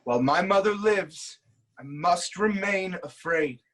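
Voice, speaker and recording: A man's voice: high-pitched, expressive, a little slow, fairly bright tone, exaggerated voice. An actor playing a proud, troubled king haunted by prophecy, in an intense, declamatory reading of Greek tragedy. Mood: fearful.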